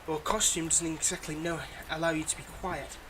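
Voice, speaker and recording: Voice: mid-range voice, steady tone, brisk, background noise, natural voice. A performer voicing a smug, playfully exasperated supervillain in a scripted ASMR audio roleplay, mocking and dramatic. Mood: smug, teasing. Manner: mocking, dramatic, addresses an unheard hero listener.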